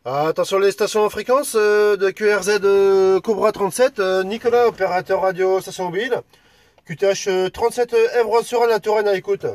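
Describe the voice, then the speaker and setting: A man from France with a high-pitched voice talks as an amateur radio operator. He moves between call signs and casual chat, friendly and a little hesitant. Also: fairly even intonation, a little fast, darkish tone.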